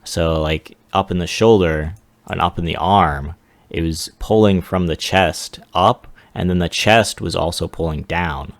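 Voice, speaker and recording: Low, creaky-voiced man rambling through a demo. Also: fairly even intonation, unhurried, no echo. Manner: rambling, self-deprecating, chuckles at own remarks. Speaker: 3D artist presenting a demo. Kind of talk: casual unscripted screen-recording walkthrough.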